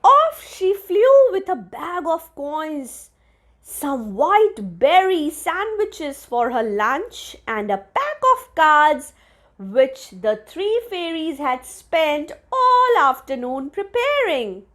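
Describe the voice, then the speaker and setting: A woman reading a children's story aloud in an expressive, deliberate teacherly manner. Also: sits high. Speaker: storybook reader for young children.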